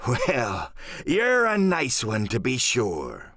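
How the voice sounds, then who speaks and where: A man's voice: medium pitch, huge pitch swings, slow, a bit bright, no room sound, clean studio sound, full character voice. A man voicing a sly, insolent old one-legged pirate, coolly mocking and drawling, in an audiobook drama. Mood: wry.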